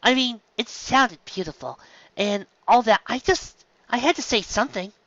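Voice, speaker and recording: A woman voicing an eager, bossy young female pony, brisk and impatient with a falsely cheery edge. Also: a little high, animated, a bit bright, dry recording, highs cut off, pitched above the performer's own voice.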